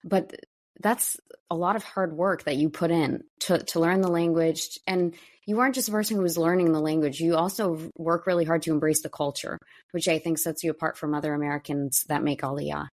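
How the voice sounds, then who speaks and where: Woman, podcast host, low voice, casual interview, complimentary questions. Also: steady tone, brisk.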